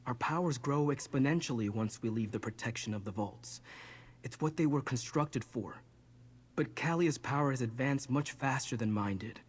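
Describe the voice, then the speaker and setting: A man voicing an earnest, protective young man with a husky voice in a video game. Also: mid-range voice, normal speed, lightly acted. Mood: grave, recounting. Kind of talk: video game character dialogue.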